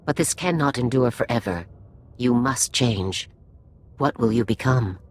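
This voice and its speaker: Serene alien healer mystic, solemn ceremonial talk, game scene with clear noisy ambience behind. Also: medium pitch, lively intonation. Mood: grave, serene. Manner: slow-phrased, ceremonial, cryptic. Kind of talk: video game dialogue acting.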